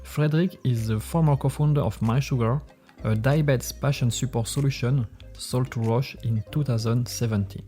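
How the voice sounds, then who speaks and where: A man, a native French speaker, hosting a podcast and asking questions in careful, slightly halting English. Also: mid-range voice, warm tone, faint music behind. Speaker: podcast host. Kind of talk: interview questions.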